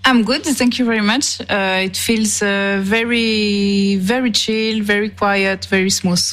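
A woman's voice: mid-range voice, steady tone, a little slow, a bit bright. A woman from Switzerland, a non-native English speaker, answering as a musician in a reflective interview, repeating and rephrasing as she searches for words. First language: not English.

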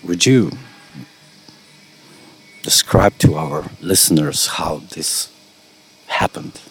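This is a very deep-voiced man hosting a radio interview. He talks at an unhurried pace, with hesitant, careful questions in a second language. His first language is Slovenian. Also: vocal fry, fairly bright tone, faint music behind. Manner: slow, halting questions in careful non-native English.

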